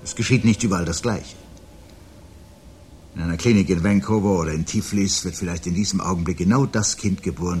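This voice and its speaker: Actor as low-voiced brooding doomsday intellectual man. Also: natural voice. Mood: grave, ominous. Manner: measured, deliberate, formal, sardonic. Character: brooding, articulate man calmly awaiting the world's end. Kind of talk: 1970s German radio play acting. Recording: 1970s radio production with audible background noise.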